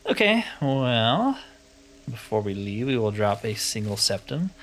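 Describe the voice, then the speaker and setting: A man's voice: medium pitch, sing-song, unhurried, fairly bright tone. A man giving casual let's-play commentary, musing aloud with wry asides as he plays. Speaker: gamer narrating his playthrough.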